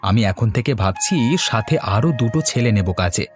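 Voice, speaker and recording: A man's voice: fairly low pitch, animated, fairly quick, close to an everyday voice. A man voicing a hardworking village fan seller and family man in a cartoon, earnest and polite. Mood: hopeful. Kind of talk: cartoon voice acting. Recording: music playing behind the voice.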